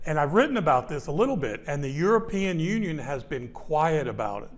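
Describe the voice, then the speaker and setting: A man's voice: a little high, very animated, a little fast. A man in his sixties speaking as an expert guest in a studio interview, arguing his point with passion and emphasis. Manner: gestures broadly while talking. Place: small indoor studio.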